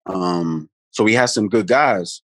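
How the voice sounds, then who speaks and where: A man, a guest telling stories in a relaxed, rambling way on a video call from his phone at home. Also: on the low side, slow pace, no echo, studio quality. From the Bronx, New York. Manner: laid-back, rambling, many "you know what I mean" tags. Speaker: guest, pro basketball player. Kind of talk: casual storytelling chat. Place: room at home, indoors. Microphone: phone camera held close on a video call.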